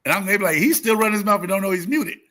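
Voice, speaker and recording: A man's voice: sits high, very fast, pushed and bright. A talkative man in his fifties chatting casually as a livestream host, emphatic and repeating phrases as he tells motivational stories. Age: 50s. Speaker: YouTube gardening creator and live host.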